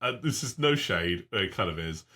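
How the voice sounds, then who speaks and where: A man in his thirties, co-hosting a casual podcast with lively, energetic banter. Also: sits low. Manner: energetic, laughs easily, fast restarts. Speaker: podcast co-host.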